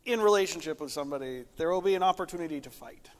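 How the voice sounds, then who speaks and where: A man with a slightly high voice speaks as a pastor in casual discussion. He tells stories conversationally, dropping in frequent asides. The sound is echoey, with the long reverb of a hall. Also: rapid-fire.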